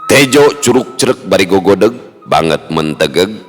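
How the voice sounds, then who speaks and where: A man with a West Javanese accent telling a dramatic folk tale in a measured, theatrical way. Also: deep voice, very animated, breathy edge. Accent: Sundanese-speaking West Java. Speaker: storyteller. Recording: long hall reverb, music underneath.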